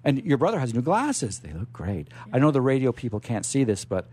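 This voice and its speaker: Mellow man hosting a chatty radio show. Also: medium pitch, very animated, breathy edge. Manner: rambling, halting thought, often repeats words. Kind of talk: chatty hosting and interviewing, reading stories aloud. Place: radio studio. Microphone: studio microphone.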